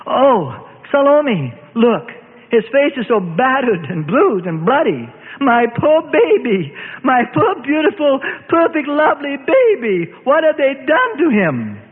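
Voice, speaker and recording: A man voicing a grieving mother in a storybook reading, crying out in a high, tearful voice. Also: big pitch swings, slow pace, breathy edge, heavily acted. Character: weeping grieving mother, tender. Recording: tinny phone-like sound with long reverb.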